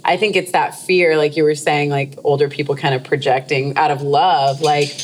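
A woman interviewing guests in a casual, conversational way, reacting warmly. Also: sits low, fairly even intonation, moderate pace, slight background noise.

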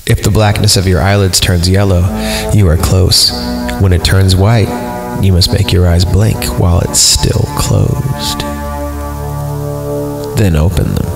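A man's voice: low-pitched, measured pace, gravelly. A man hosting a horror podcast, reading stories aloud in an informal, self-amused way with casual asides. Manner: informal, self-amused, breaks off to comment and chuckle. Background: music under the voice.

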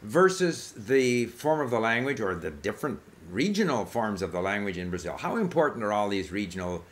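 A male interview host with a fairly low voice. He asks long, considered questions in an easy conversational way and stops to check himself as he goes. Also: very animated, fairly quick. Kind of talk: casual interview chat.

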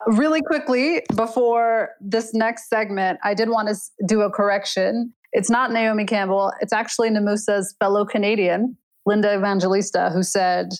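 A woman's voice: mid-range voice, fairly even intonation, moderate pace, few pauses, rough recording. A woman co-hosting a podcast, talking in an upbeat, playful way and laughing between lines as she explains a game segment. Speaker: podcast co-host.

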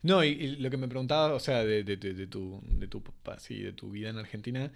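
A man's voice: on the low side, creaky. A man interviewing an artist, using academic words and restarting his sentences often. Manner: halting, many restarts, academic wording. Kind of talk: interview questions.

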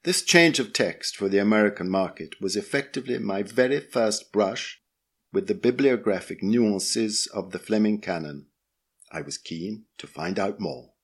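A man's voice: fairly low pitch, normal speed, husky. A man with a British accent hosting a podcast and reading a scripted essay aloud. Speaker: podcast host and reader.

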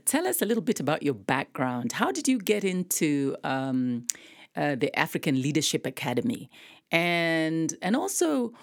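A woman interviewing a guest as podcast host, speaking in an encouraging, emphatic, conversational way. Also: low voice.

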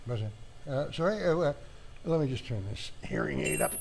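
A man's voice: fairly high pitch, lively intonation, fairly quick, gravelly, slight background noise. An actor playing a sardonic old male office colleague in a satirical audio drama, droll and sarcastic.

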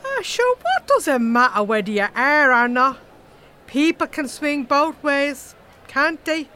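An actress playing a blunt, chatty, gossipy Irish animal-rights activist with an earthy colloquial manner in a comedy audio drama. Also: female voice, high-pitched, big pitch swings, measured pace, sharp tone, full character voice. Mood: cheeky.

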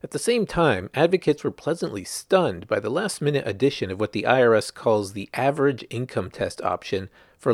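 A man's voice: on the low side, vocal fry, no echo, clean studio sound. A man reading a news article aloud as a scripted narration, in the manner of a reporter presenting a written story.

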